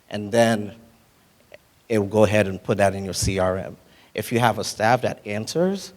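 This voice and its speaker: A low-pitched man in his forties, originally from Haiti, speaks to a live summit audience in a loose, conversational way. He keeps his points easy to follow and tags them with "right?" as he goes. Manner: conversational, frequent "right?" tag questions. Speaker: guest speaker. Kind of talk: casual conference talk.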